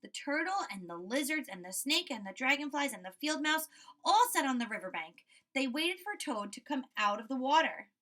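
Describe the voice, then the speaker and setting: A woman teacher reading a story aloud to children and commenting on it, expressive, often checking in with her listeners. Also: sits high, normal speed. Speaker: school teacher.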